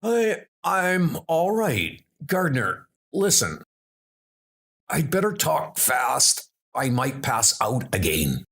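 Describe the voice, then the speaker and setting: A man playing a wounded, urgent scientist in a comic adventure, speaking in a strained, halting way. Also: medium pitch, big pitch swings, gravelly, fairly bright tone, voice pushed up, exaggerated voice. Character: wounded, urgent male scientist recounting a strange danger.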